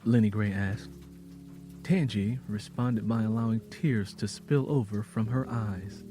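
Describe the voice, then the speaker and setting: A man narrating an audio drama in a measured, solemn, dramatic storytelling style. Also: on the low side, fairly even intonation, over music.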